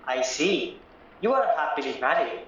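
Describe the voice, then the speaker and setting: A man acting in an audio play as a cautious, polite solicitor who asks careful, formal questions with gentle doubt. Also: a little high, sing-song, moderate pace. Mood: measured, probing. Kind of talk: stage-style audio drama acting. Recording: very echoey, muffled, distant, music underneath.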